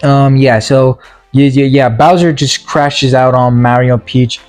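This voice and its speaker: Male movie reviewer, mid-pitched, casual and slangy, stop-and-start recap with sarcastic asides, music underneath. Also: steady tone, darkish tone. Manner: halting, stop-and-start, repeating words. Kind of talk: casual, rambling film recap commentary.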